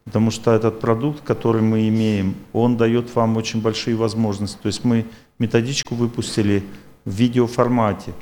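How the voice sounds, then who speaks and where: A man's voice: on the low side, little pitch movement, fairly quick, dark tone, slight background noise. A man lecturing an audience and taking their questions, talking in a rambling, repetitive but self-assured way.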